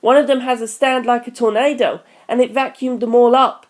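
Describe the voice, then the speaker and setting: A reader voicing an excitable, friendly teenage boy comrade in an action story. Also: medium pitch, a little fast, pitched above the performer's own voice, natural voice. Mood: alarmed.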